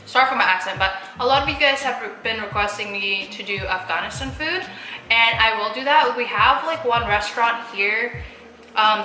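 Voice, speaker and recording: A chatty young woman eats on camera and talks casually to her viewers, with lots of fillers and easy laughs. Her voice is bright and sharp and bounces around an echoey room. Faint music plays behind her. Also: mid-range voice. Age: young adult. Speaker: mukbang vlogger. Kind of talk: casual chat while eating.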